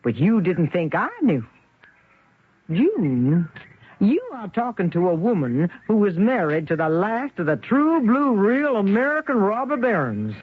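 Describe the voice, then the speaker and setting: An actress playing a shrewd, wealthy older widow in a theatrical, teasing radio drama style. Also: female voice, medium pitch, big pitch swings, measured pace, breathy edge. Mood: mocking, amused. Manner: theatrical, teasing, folksy exclamations. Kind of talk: 1970s radio drama acting. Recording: tinny, noisy old radio recording.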